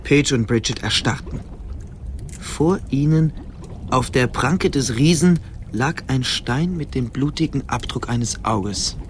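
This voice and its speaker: An actor narrating a fantasy radio play as a grave, calm storyteller with measured suspense. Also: male voice, mid-range voice, a little hiss or hum. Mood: suspenseful.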